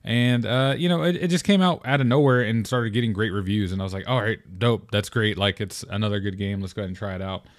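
A man's voice: fast, few pauses, no echo, studio quality. Laid-back young man hosting a podcast. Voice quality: deep morning voice. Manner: rambling, relaxed, lots of fillers and restarts. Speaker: podcast host. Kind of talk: casual solo podcast talk.

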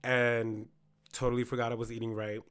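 A hoarse-voiced man talking in a casual podcast chat, animated, acting out quoted reactions. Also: mid-range voice, fairly quick. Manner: chatty, animated storytelling with quoted asides.

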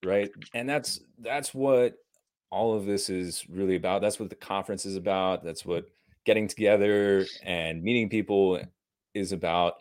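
A male guest with a lowish voice, talking earnestly and at length. He rambles and builds up enthusiasm, with a casual swear word here and there. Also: steady tone, normal speed, a little mellow. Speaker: podcast guest. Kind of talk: casual live-stream chat.

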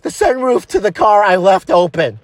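A performer voicing a needy, sappy male lover who sounds close to crying, halting and pleading, in a comedy parody of romance. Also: medium pitch, sing-song, no echo, natural voice. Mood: flustered. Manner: halting, pleading, repeats himself. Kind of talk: improvised parody of romantic melodrama.

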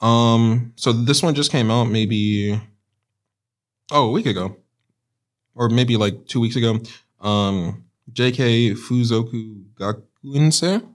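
A man's voice: medium pitch, slow. Laid-back man chatting casually. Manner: laid-back, explains at length with fillers. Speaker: podcast co-host. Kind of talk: casual chat.

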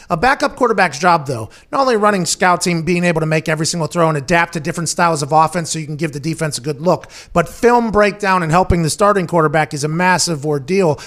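A man hosting a sports podcast, asking questions in an energetic, chatty, joking manner. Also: fairly high pitch. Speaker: podcast host. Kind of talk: casual podcast interview.

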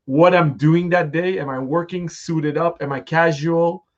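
A man's voice: on the high side, unhurried, highs cut off. A man hosting an interview, talking casually with frequent fillers and restarts. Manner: relaxed, rambling with restarts and fillers.

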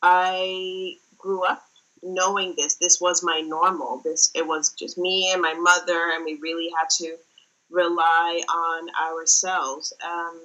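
Young woman from the Netherlands, interview guest; bright, lowish voice, halting and reflective. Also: little pitch movement, rough recording. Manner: thoughtful, many fillers and restarts. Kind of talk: casual interview talk.

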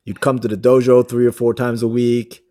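A man in his thirties hosting an interview, talking in an animated, friendly way and often checking in with "right?". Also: medium pitch, fairly quick. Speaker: podcast host and judo coach. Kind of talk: casual conversation.

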